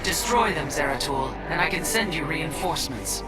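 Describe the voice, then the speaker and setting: A man's voice: a little high, expressive, normal speed, over loud music, lightly acted. Man as a proud alien warrior, distant. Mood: businesslike. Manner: formal, proud, honorable. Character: noble, battle-eager alien warrior ally. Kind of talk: dramatic video game voice acting.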